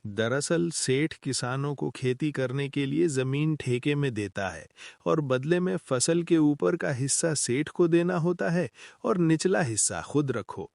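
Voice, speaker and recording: An older, hardworking village farmer, voiced by a man in a cartoon story, earnest and a little pleading. The voice is gravelly, with middle pitch. It is recorded dry. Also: expressive, a little slow, darkish tone, dry recording. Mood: aggrieved.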